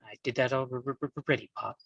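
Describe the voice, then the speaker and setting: A man playing a calm, controlled young mafia boss in a script read, halting and stuttering heavily on consonants. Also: mid-range voice, vocal fry, highs cut off. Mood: reassuring. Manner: halting, deliberate. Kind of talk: live table read of a crime film script.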